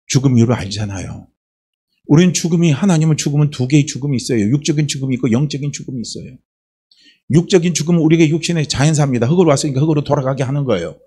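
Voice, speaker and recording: A man's voice: fairly high pitch, darkish tone, breathy edge. A male pastor from Chungcheong preaching a Sunday sermon, asking the congregation rhetorical questions. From Chungcheong, South Korea.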